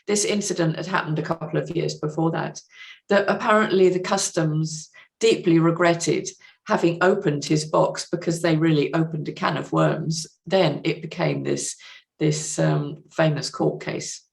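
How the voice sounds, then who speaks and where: Low, creaky-voiced woman explaining thoughtfully. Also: fairly even intonation. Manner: considered, articulate, pausing to find words. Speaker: podcast guest, literary translator. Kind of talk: conversational explanation in an interview.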